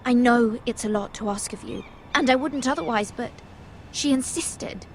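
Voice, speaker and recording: A woman's voice: fairly high pitch, moderate pace, a little hiss or hum, natural voice. An actress playing an earnest, anxious young lady-in-waiting in a video game, pleading in formal, deferential speech. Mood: apologetic. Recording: faint music behind the voice.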